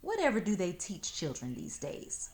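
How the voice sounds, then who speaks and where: A woman's voice: fairly low pitch, wildly swooping pitch, moderate pace, darkish tone. A woman voicing a sly, pompous male fox in a storybook, with formal, condescending diction. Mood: disgusted, condescending. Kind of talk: storybook read-aloud.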